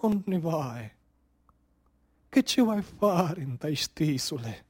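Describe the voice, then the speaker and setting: Man, Sicilian accent, theatrical monologue, very slow deliberate pace, declamatory, incantatory storytelling. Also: a little high, very animated, a little mellow, husky. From Sicily, Italy. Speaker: stage storyteller, actor.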